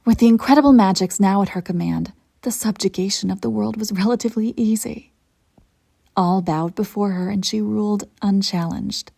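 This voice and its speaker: Woman playing a husky, creaky-voiced immortal evil empress. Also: medium pitch, warm tone. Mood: smug. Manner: deliberate dramatic storytelling, haughty and intimate. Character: immortal ruthless evil empress sorceress, self-satisfied, coolly melodramatic. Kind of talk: scripted roleplay audio monologue.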